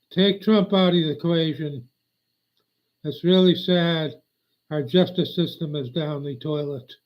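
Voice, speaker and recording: An older man rambling on a live stream, meandering and aggrieved, often losing his train of thought. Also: a little high. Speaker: livestreamer. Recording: dull lo-fi audio.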